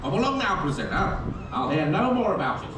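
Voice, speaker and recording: A man's voice: high-pitched, sing-song, a little fast. A man playing a pompous, greedy Lord Mayor in a children's puppet show, with blustering theatrical delivery. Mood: dismissive. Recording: echoey noisy theatre from far off.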